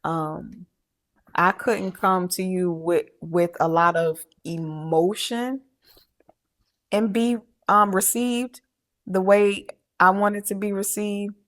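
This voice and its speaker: A middle-aged woman co-hosting a podcast in casual chat, talking haltingly with many fillers and restarts. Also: medium pitch, fairly even intonation. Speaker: podcast co-host.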